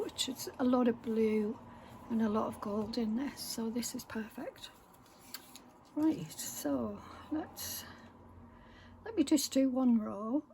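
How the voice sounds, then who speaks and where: A woman vlogging casually while she stitches at home, rambling softly with many pauses, her voice croaky from a sore throat. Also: on the high side, a little hiss or hum. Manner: soft-spoken, rambling, many pauses and fillers, often half-whispered. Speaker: craft vlogger. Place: home room with window open.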